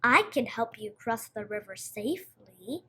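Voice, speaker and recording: A woman's voice: on the high side, expressive, unhurried, a bit bright, voice pushed down, exaggerated voice. A woman voicing a sly, clever male fox with a coaxing, falsely helpful manner for a storybook. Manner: smooth coaxing, cunning.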